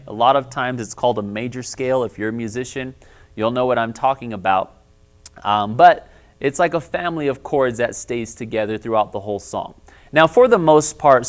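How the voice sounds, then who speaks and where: A man with a lower bass-baritone voice, teaching a church music lesson in a friendly, explanatory way and often checking in with "all right?". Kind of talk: instructional video lesson.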